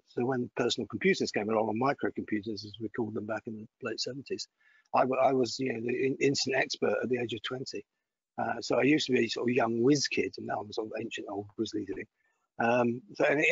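Gravelly Scottish man in his sixties. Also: mid-range voice, no echo. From Scotland. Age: 60s. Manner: rambling, with many repetitions and self-deprecating asides. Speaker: guest. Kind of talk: interview answers. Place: home, on a video call. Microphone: video call microphone. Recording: dry, dull lo-fi video call audio.

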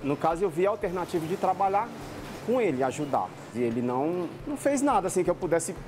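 A man's voice: high voice, sing-song, fast, noisy background. A man in his thirties with a northeastern Brazilian accent, giving candid answers in an interview as he works at a family snack bar. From Ceará, northeastern Brazil. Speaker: owner's son helping at a snack bar. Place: small snack bar, indoors.

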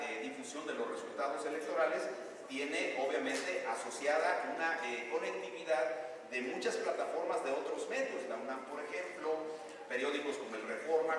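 A middle-aged Mexican man speaking formally at a conference, explaining things in a deliberate, wordy way. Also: on the high side. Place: hotel conference room, indoors. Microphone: podium microphone. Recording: echoey hall, distant, faint noise.

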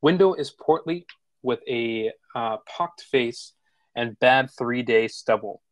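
A man's voice: mid-range voice, slow, no echo. A man reading out the action lines of a film script as narrator at a table read.

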